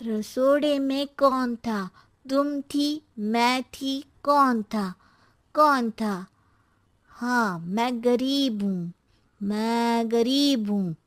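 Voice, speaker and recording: A woman plays a cheeky young schoolboy in a cartoon. The voice is very high and the words come slowly, dragged out in a lazy, smart-alecky drawl. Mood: playful. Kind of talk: Hindi cartoon story dialogue.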